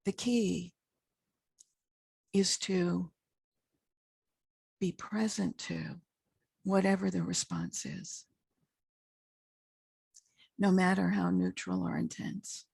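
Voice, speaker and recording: A woman leading a guided meditation as a spiritual teacher, speaking in short, measured phrases with long pauses and a husky, gravelly voice. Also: fairly low pitch, animated, darkish tone, no echo.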